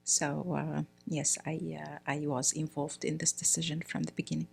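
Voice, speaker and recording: A middle-aged woman from Egypt, a guest speaking in a casual interview, often pausing with fillers and restarting her sentences. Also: deep voice, level tone, vocal fry, a little mellow, breathy edge, soft background music. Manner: hesitant, many fillers and restarts. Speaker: guest, priest's wife.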